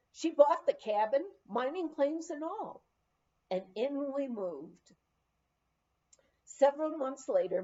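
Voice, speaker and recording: A woman reads a scripted memoir with measured storytelling delivery. Her voice sits fairly high but is mellow and relaxed, and she takes her time. The recording is a little dull and lo-fi. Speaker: audiobook reader.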